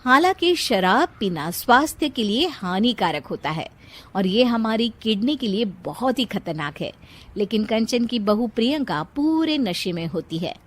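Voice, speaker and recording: A woman's voice: fairly high pitch, big pitch swings, measured pace. A woman narrating a scripted moral story.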